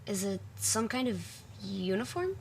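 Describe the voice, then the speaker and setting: A woman's voice: mid-range voice, big pitch swings, measured pace, creaky, a little mellow, a little hiss or hum. A woman playing an attentive companion who keeps asking questions. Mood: curious.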